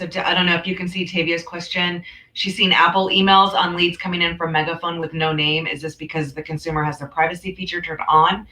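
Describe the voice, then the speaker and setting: A woman's voice: sits low, pushed and bright. A woman hosting a casual online discussion, talking in a chatty, informal way. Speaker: host. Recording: roomy, echoey sound.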